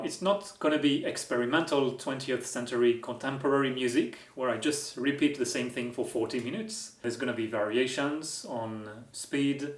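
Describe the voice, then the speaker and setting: A man's voice: mid-range voice, echoey room. A man with a French accent, hosting a music-making vlog and explaining his work with wry, self-deprecating humor. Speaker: musician and video host. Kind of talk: casual explanatory vlog narration.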